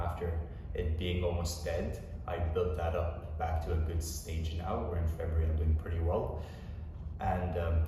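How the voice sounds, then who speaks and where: A young man, a personal trainer, giving a relaxed, rambling vlog update with plenty of fillers. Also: deep voice, background noise. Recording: echoey room from far off.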